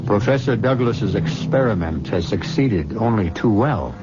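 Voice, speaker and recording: An actor playing the ominous storyteller host of an old-time radio mystery, narrating theatrically. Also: male voice, fairly low pitch, lively intonation, unhurried. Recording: muffled old radio broadcast. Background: music.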